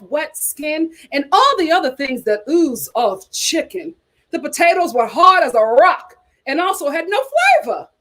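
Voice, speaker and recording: Animated, high-pitched American woman. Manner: playful, teasing asides. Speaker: host of a reality TV chat show. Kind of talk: casual chat, reading reviews aloud with commentary.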